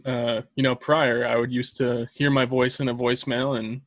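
A man's voice: medium pitch, little pitch movement, normal speed, no echo, clean studio sound. A man co-hosting a casual podcast, asking questions hesitantly with lots of fillers. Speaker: podcast co-host.

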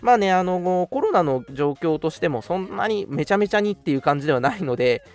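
抑揚豊かな男性ラジオパーソナリティ. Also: male voice, high voice, expressive, fast talker, faint music behind. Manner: polite announcer phrasing mixed with playful banter. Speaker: radio show host and scriptwriter. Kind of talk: casual hosting with announcements.

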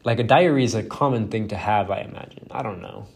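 A man's voice: fairly low pitch, fast, gravelly. A young man whose first language is Spanish, hosting a casual solo podcast, rambling and self-deprecating with lots of fillers and swearing. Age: young adult. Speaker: podcast host.

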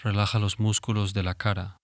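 Low-pitched man guiding meditation. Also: no room sound, clean studio sound. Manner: calm, soothing, instructing with long pauses. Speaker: meditation guide, host. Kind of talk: guided relaxation meditation. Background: music.

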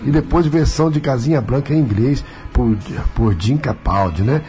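A man's voice: mid-range voice, normal speed, mellow, soft background music. A man with a Carioca accent hosting a radio talk show as a pastor, talkative and full of tag questions. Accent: Carioca Brazilian Portuguese. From Rio de Janeiro, Brazil.

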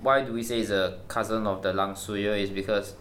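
A woman co-hosting a casual chat, steering topics and tossing in quick questions. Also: a bass voice, fairly even intonation. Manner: reactive, short questions and interjections. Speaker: podcast co-host. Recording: faint background noise.

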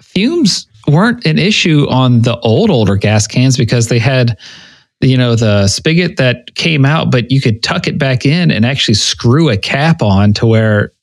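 A man chats casually as a podcast co-host, with a middling pitch. His delivery is joking and teasing, like banter among friends. The sound is close and dry, with little room to it. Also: lively intonation, unhurried, no room sound.

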